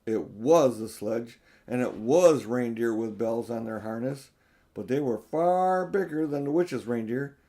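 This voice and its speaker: An older man reads a children's story aloud as an audiobook narrator. His pitch sits in a middle range, and he tells the tale expressively. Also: expressive, unhurried, dark tone. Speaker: audiobook reader.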